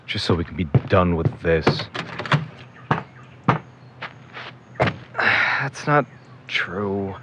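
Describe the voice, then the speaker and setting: Man acting as grumbling young guy, sarcastic, swinging pitch, audio drama, muffled noisy recording. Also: fairly bright tone. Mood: weary, exasperated. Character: irritable, bickering young man, protective older brother.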